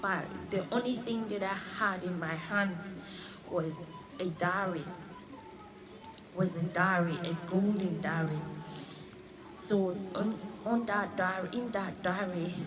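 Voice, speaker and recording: A woman in her thirties, born in Congo and raised in Australia, giving an earnest personal religious testimony and often halting to repeat her phrases. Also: fairly low pitch, a crowd in the background, rough recording. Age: 30s. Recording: music playing under the voice. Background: clear background music.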